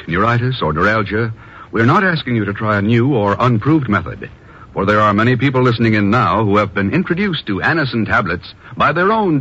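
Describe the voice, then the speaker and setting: An American man announcing in formal, emphatic 1950s radio style, delivering scripted sales copy and narration in measured phrases. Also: medium pitch, fairly bright tone, slightly rough. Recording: muffled old radio broadcast.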